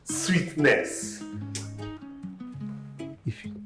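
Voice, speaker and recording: An actor with a Nigerian accent playing a smitten young man who teases and flatters his girlfriend, mixing in local words. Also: drawn-out words, faint music behind. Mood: exuberant. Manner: teasing, exclamatory, mixes in local words. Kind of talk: comedy skit acting.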